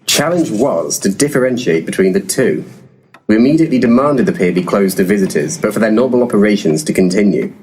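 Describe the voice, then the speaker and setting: A man's voice: lowish voice, expressive, a little fast, a little mellow, a little hiss or hum, natural voice. An actor playing a pompous, verbose male paranormal investigator in a comedic audio drama, florid one moment and crude the next. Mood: grandly narrating. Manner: grandiloquent, verbose.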